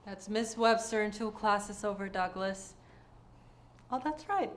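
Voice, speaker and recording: A woman's voice: mid-range voice, lively intonation, a little slow, lightly acted. A young woman playing a bookish teaching assistant in a comedy web series, with a wry, teasing manner. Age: young adult. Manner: coy, wry.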